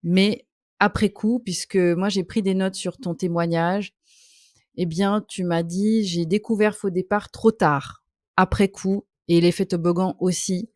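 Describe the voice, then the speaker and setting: A woman's voice: lowish voice, fairly even intonation, unhurried, darkish tone, no echo, clean studio sound. A woman hosting an interview, speaking with many hesitant fillers and an empathetic tone. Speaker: podcast host. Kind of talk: interview via video call. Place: hot home office.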